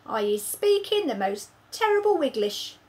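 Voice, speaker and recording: Storybook role: a woman doing a bumbling, very old gentle giant, high and loud, tangling every sentence. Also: very animated, moderate pace. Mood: lively. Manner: bumbling, mangled grammar and made-up words. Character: kindly very old male giant who jumbles his words.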